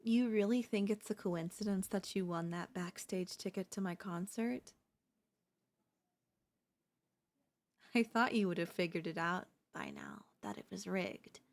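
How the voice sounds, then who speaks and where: Girl voice actor doing an obsessed idol kidnapper, asmr roleplay, soft doting sweet talk but controlling and kinda menacing. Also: medium pitch, big pitch swings, normal speed, creaky, hardly any pauses. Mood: soothing, possessive. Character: obsessive yandere female pop idol kidnapper, sweetly possessive.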